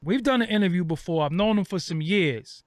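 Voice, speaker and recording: A man's voice: high-pitched, animated, brisk, no room sound. A man hosting an online interview, chatting casually with lots of fillers and street slang. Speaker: host.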